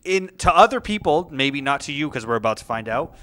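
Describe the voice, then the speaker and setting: A man's voice: fairly high pitch, very animated, brisk, a bit bright. A man co-hosting a casual comedy chat, agreeable and telling stories with a string of "yeah, yeah, yeah". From Canada. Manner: agreeable, lots of "yeah, yeah, yeah", storytelling. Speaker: podcast co-host.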